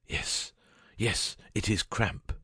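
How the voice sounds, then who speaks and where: An actor playing a cunning detective with a husky voice in a dramatic audiobook reading. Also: male voice, takes it slowly, bright, forceful tone, no room sound, studio quality. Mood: feeble, agonized. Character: cunning male detective, first feigning a dying illness, later brisk.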